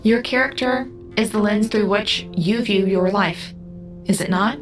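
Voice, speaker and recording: A woman's voice: mid-range voice, measured pace, faint music behind, close to an everyday voice. A woman playing a young otherworldly female guide in an audio drama, solemn, sad and a little wry. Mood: solemn, explanatory.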